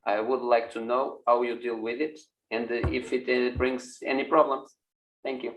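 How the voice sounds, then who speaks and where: A man from Portugal, a former project participant, asking a steady prepared question. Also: medium pitch, moderate pace, a little hiss or hum, poor audio.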